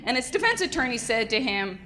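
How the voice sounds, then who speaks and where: A young adult woman, a defense attorney, giving an energetic conference lecture into a microphone. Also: on the high side, fairly quick, rough recording. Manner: energetic, lively, self-described loud. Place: conference room. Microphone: handheld or podium mic.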